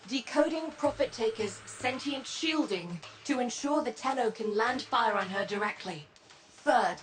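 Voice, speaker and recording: A woman's voice: fairly high pitch, lightly acted. A woman acting as a terse, resolute resistance squad leader in a video game, giving clipped, authoritative briefings.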